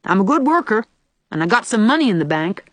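A man's voice: very high voice, big pitch swings, normal speed, a bit bright, no room sound, voice pushed up, exaggerated voice. A woman voicing a solemn, stubborn young farmhand with abrupt, folksy rustic speech in an audiobook. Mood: stubborn, persuasive. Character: lanky, solemn young country farmhand, blunt and stubborn suitor. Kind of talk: audiobook character voice.

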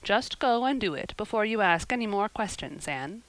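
A woman's voice: mid-range voice, lively intonation, dry recording, close to an everyday voice. Stern, no-nonsense older guardian played by a woman. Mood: stern, disapproving. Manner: curt, brisk, disapproving. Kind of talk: audiobook character voice.

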